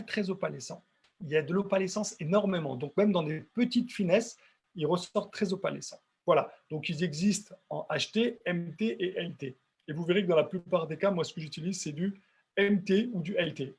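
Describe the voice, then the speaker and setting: Middle-aged man, raspy slightly high voice, informal technical webinar, conversational, emphatic repetition. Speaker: dental technician giving a webinar.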